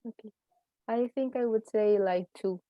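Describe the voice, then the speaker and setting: A woman's voice: a little high, moderate pace, no room sound, muffled recording. A young woman with a Spanish accent, a university student speaking hesitantly in a class discussion. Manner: hesitant, many restarts and fillers.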